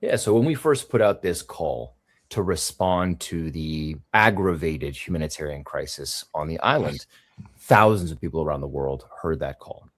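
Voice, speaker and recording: A man being interviewed, arguing an urgent cause earnestly and with passion. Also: low-pitched. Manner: earnest, impassioned advocacy. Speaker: interview guest, organizer. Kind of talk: played interview clip.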